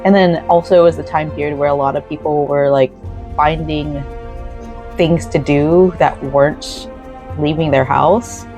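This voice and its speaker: Relaxed woman let's-play host chatting while crafting; low, mellow voice, dry sound with faint game music underneath. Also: a little slow. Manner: relaxed, rambling, with frequent laughs. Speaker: gaming and crafting channel host. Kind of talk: casual let's-play chat while knitting.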